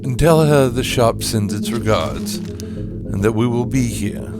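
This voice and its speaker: A man playing a soft-spoken, formal and dryly witty keeper of an occult shop in a gothic audio drama, with measured delivery. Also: mid-range voice, close to an everyday voice. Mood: dryly courteous. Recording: loud music bed under the voice.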